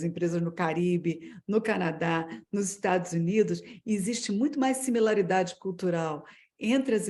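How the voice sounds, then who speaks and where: A middle-aged woman from Brazil talks with animation in an online panel, often dropping English words into her sentences. Her voice sits a touch low. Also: darkish tone. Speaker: guest speaker.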